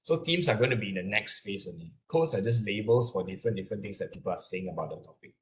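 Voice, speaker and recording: A man with a Malaysian accent giving an explanatory webinar lecture, speaking haltingly and often repeating his words as he works through the material. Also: fairly low pitch, lively intonation, a little hiss or hum. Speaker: academic lecturer.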